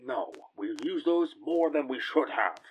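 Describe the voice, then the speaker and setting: A man's voice: mid-range voice, lively intonation, measured pace, dull audio, slight background noise, close to an everyday voice. A man playing a bold, gallant space captain and vigilante hero in an audio drama, speaking in decisive, heroic commands. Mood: urgent, planning.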